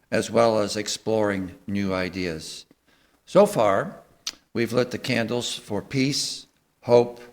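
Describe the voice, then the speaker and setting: A man speaking to a congregation in an earnest, measured way, giving a sermon and liturgical readings. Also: fairly low pitch. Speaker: service speaker at a church.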